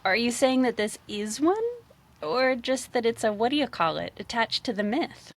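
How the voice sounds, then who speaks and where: A woman's voice: fairly high pitch, animated, normal speed, pitched above the performer's own voice. Woman as young female shopkeeper, fantasy heroine, wry, sceptical, questioning.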